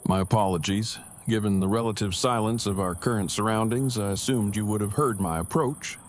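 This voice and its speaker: A man's voice: on the low side, brisk, slight background noise, natural voice. A man acting an awkward, earnest survivor in an audio drama, speaking in formal, wordy, stilted phrases. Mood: matter-of-fact. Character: awkward, earnest, verbose male survivor in a zombie apocalypse.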